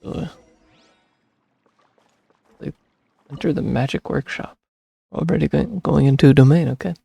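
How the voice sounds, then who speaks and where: A man's voice: on the high side, a little slow, vocal fry, warm tone, slightly rough, no room sound. A man giving casual gameplay commentary, rambling and thinking aloud in a self-deprecating way. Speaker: streamer playing a video game.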